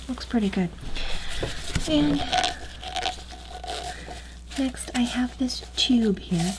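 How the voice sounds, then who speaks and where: A woman with a slightly low voice gives soft, breathy ASMR instructions, often dropping to a whisper. She moves step by step in a hushed, halting way. There is clear background noise, with small clicks. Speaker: ASMR performer playing a training instructor.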